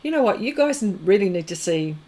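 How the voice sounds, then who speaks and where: A woman's voice: mid-range voice, sing-song, fairly quick, mellow. A woman hosting an art livestream, chatting casually and rambling as she works, often laughing. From Australia. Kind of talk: casual chat while demonstrating pastel art.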